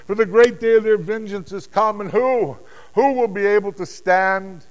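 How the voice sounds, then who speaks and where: A man voicing terrified kings begging to be hidden, crying out loudly in a dramatic scripture reading. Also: high voice, normal speed, pitched above the performer's own voice, close to an everyday voice, low-quality recording. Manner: loud, desperate crying out. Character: terrified kings and rich men begging mountains to hide them.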